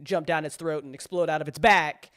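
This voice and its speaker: A mid-pitched speaker holds forth in a casual live chat as a writer of audio drama. The delivery is rambling and dramatic, full of slang and swearing. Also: fairly even intonation, fairly quick, fairly bright tone, a little hiss or hum.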